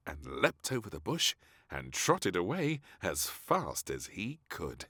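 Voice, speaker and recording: A man narrating a scripted children's story in an expressive, theatrical manner. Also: low-pitched, takes it slowly.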